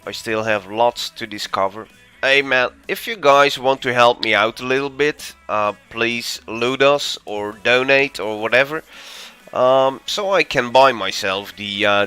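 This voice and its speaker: Slow-talking man streaming a game. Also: mid-range voice, pushed and bright, clean tone. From Belgium. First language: Dutch. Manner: thinking aloud, easygoing, frequent ums and uhs. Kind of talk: live gameplay commentary.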